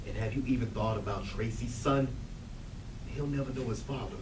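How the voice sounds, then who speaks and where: A man performs a resolute, wounded grown son in a theatre reading. His mid-pitched voice is earnest and emphatic. He sounds distant in an echoey room. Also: moderate pace, darkish tone, close to an everyday voice. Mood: stern. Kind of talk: stage readers theatre acting. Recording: echoey room, distant mic, background noise.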